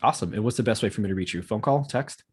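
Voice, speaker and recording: A low-pitched man talks quickly through a practice real estate sales call. His voice has a rough, husky edge. He is polite and agreeable, repeating details back, and occasionally stammers over a word. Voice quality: occasional stammer, gets hung up on words. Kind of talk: role-played phone sales call.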